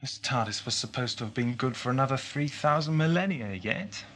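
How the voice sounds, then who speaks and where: A man's voice: medium pitch, animated, brisk, a bit bright. A man playing an earnest alien time traveller who explains things urgently in an amateur audio drama. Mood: grave. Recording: slightly noisy 1980s home-made audio drama.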